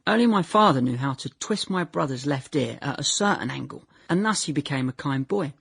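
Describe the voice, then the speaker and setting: An actor playing a naive young man in a radio drama monologue, recalling his childhood in a deadpan, chatty way, often correcting himself. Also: on the high side, fairly quick, gravelly, husky, no room sound, clean studio sound, heavily acted. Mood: wry, animated. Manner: deadpan, chatty, self-correcting, trailing into "yeah".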